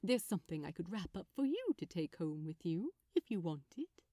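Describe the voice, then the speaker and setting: A woman voicing a sweet, gently flirtatious man who talks politely and teasingly in a fan fiction reading. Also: fairly low pitch, big pitch swings, moderate pace, no echo, studio quality. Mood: hopeful, coy. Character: sweet, gently flirtatious male gift-wrapper. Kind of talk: fan fiction audiobook dialogue.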